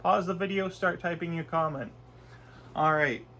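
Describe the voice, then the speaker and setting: A man's voice: fairly high pitch, normal speed, pushed and bright. A young man from Canada with a stuffy nose from a cold, chatting casually and rambling through a food review in his bedroom. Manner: rambling, chatty, with frequent fillers and restarts. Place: small bedroom, indoors.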